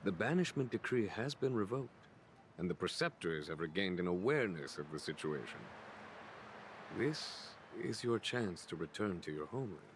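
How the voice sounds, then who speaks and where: A man's voice: low voice, lively intonation, normal speed, slight background noise. An actor playing a composed, courteous man of authority in a game, speaking formally. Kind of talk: scripted game voice acting.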